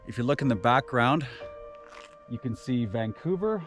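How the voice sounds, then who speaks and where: A man with a naturally loud voice giving casual commentary outdoors on a mountain trail, relaxed, with many filler uhs. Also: mid-range voice, moderate pace. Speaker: trail running vlogger. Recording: music playing behind the voice.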